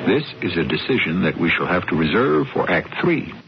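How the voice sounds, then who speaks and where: Deep male host narrating slow and thoughtful, expressive, muffled old radio, music loud behind. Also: vocal fry, heavy background noise. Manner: measured, reflective. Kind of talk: scripted narration.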